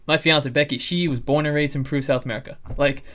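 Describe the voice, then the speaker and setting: Earnest young man, slightly high voice. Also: steady tone, quick pace, a bit bright. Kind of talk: casual podcast conversation.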